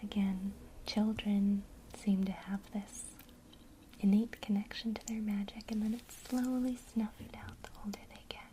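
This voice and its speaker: A woman teaching witchcraft basics in a soft, encouraging ASMR whisper. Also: mid-range voice, little pitch movement, a little slow, a little hiss or hum, low-quality recording.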